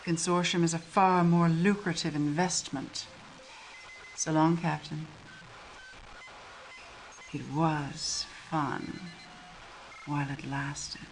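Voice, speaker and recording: An actress playing a smug, cold traitor spy in a video game, taunting and mocking. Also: female voice, on the low side, fairly even intonation, unhurried. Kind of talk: scripted video game villain acting. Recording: faint background noise.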